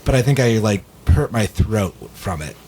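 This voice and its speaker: A man with a slightly raspy voice, chatting casually as a co-host of a music discussion. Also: lowish voice, lively intonation, moderate pace, a little mellow. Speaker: podcast co-host. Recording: clear background noise.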